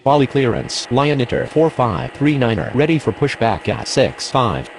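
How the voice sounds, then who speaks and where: Guy doing airline pilot radio calls in a game, creaky voice, brisk and businesslike, bit of vehicle noise behind. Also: medium pitch, animated. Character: brisk, businesslike male airline pilot and air traffic controller. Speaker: pilot and air traffic controller voice in a game. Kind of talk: clipped radio phraseology.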